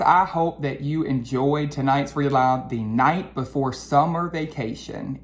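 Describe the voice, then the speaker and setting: A woman reading a storybook aloud to children in an expressive, deliberate, sing-song way. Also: extremely low pitch. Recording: roomy echo with faint background noise.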